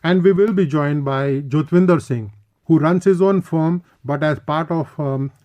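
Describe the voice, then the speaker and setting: A man's voice: on the high side, measured pace, darkish tone. A man hosting a moderated radio panel discussion.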